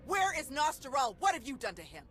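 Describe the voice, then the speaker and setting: An actress playing an earnest, troubled young Jedi in a video game, intense and impatient. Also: female voice, sits high, very animated, fairly quick, fairly bright tone. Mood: angry. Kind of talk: video game dialogue acting. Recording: music behind the voice.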